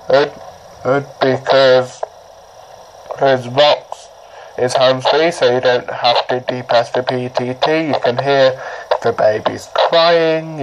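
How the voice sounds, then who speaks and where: A man giving a casual, rambling how-to explanation, halting and often repeating his words, as he demonstrates a product. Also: medium pitch. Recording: noisy amateur recording, clicks.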